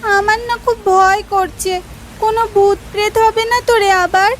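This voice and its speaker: A woman's voice: very high voice, steady tone, measured pace, noisy background. A woman voicing a timid, anxious little village girl in a cartoon. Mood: frightened. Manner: anxious, childlike. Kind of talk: cartoon voice acting. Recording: clear music behind the voice.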